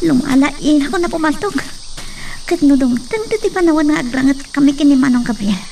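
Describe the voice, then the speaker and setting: A woman's voice: high voice, expressive, fairly quick, gravelly, darkish tone. A woman with an Ilocano accent playing a headstrong young daughter in a radio drama. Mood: determined. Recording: noisy outdoor-like sound, distant mic, insects.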